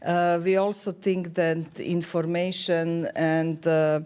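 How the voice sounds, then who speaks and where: Female panelist, Croatian accent, clean resonant tone, level intonation, halting delivery. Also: lowish voice, level tone, darkish tone, clean tone. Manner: very halting, hesitant phrasing. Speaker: panel speaker for a European committee. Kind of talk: unscripted panel presentation.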